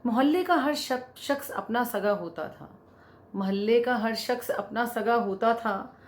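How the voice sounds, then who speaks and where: A woman poet reciting spoken-word poetry in a deliberate way, repeating lines for emphasis. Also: a little high.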